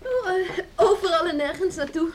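High-voiced, swooping young village woman. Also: lively intonation, moderate pace. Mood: homely, light. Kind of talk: Dutch radio drama acting. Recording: noisy old radio play recording.

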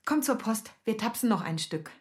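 An actress playing a resolute, practical and affectionate young widow with a plain, familiar manner in a radio play. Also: female voice, fairly high pitch, animated, normal speed, husky. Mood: eager, affectionate.

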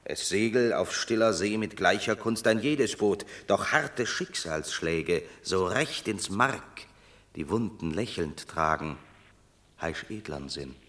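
An actor playing a proud, scornful banished Roman warrior in a radio drama of classical verse, with a declamatory, measured, grand delivery. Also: male voice, low-pitched, lively intonation, a bit bright, heavily acted. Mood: solemn, consoling.

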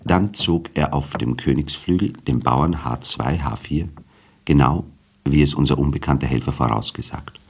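A man narrating a literary radio drama in a measured, formal storytelling manner. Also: extremely low pitch, vocal fry, breathy edge.

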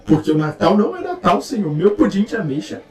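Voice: mid-range voice, big pitch swings, fast talker, a little mellow, close to an everyday voice. An actor playing a chatty, homely, fussing host in an audio drama. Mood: fussing, caring. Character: chatty, homely alien host who loves pudding.

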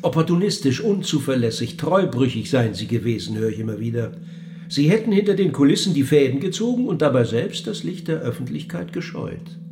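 An actor playing a cool, probing interviewer of the dead in a German radio play, speaking in a measured, dry and pointed way. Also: male voice, medium pitch, sing-song, warm tone, a little hiss or hum. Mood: measured reciting.

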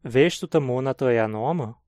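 Male course reader, Hunsrik-accented German, unhurried and clear, dry studio sound. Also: medium pitch, a little mellow, no echo. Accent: Brazilian Hunsrik German. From southern Brazil. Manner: slow, clear teaching delivery. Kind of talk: scripted textbook dialogue.

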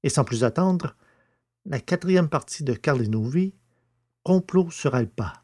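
A man hosting a podcast in a deliberate, scripted presenting style, speaking carefully into a close microphone. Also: fairly high pitch, expressive, a little mellow, dry recording. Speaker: podcast host.

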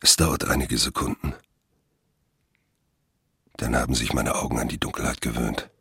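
An actor playing a weary, limping fugitive, narrating a literary German radio drama in a brooding manner. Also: male voice, a bass voice, steady tone, moderate pace, creaky, bright, forceful tone, husky, exaggerated voice. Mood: brooding, wary. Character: weary limping man fleeing alone through a forest.

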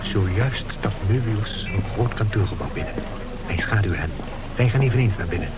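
A man's voice: fairly low pitch, expressive, a little fast, close to an everyday voice, low-quality recording. A man acting as a conspiratorial radio play narrator who guides listeners in an urgent, theatrical, confiding way. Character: conspiratorial narrator guiding listeners as they shadow a crook. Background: music.

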